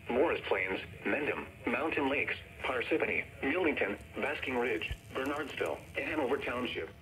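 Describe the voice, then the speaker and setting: A synthetic male voice reading a scripted severe weather warning bulletin as an automated announcer, formal and measured, played through a radio speaker. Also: mid-range voice, a bit bright. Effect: synthetic text-to-speech voice. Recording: noisy weather radio speaker recorded in room.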